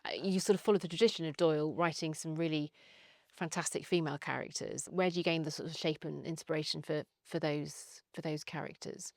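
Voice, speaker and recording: A woman interviewer asking questions in a conversational, slightly halting way. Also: sits low, steady tone, vocal fry, darkish tone, dry recording, studio quality.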